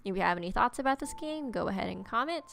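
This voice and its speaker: Chatty young woman with a gravelly edge. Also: fairly high pitch, lively intonation, fast talker, a bit bright. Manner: chatty, reads aloud and reacts with playful asides. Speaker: gaming streamer. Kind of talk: casual let's-play commentary.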